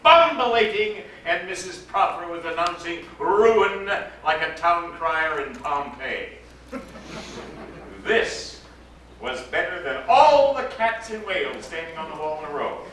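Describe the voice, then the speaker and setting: A man's voice: fairly high pitch, expressive, unhurried, a bit bright. A man giving a dramatic storybook recital to a live gathering, lingering theatrically on his phrases. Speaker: actor giving a reading. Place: live event before an audience. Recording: roomy echoing live recording, distant microphone, faint noise.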